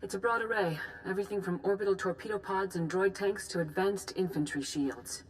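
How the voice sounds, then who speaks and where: An actress playing a grave, composed female Jedi master in a video game, speaking in a formal, measured, solemn way. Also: mid-range voice, a little hiss or hum, lightly acted, rough recording. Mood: grave, urgent. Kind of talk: scripted video game dialogue.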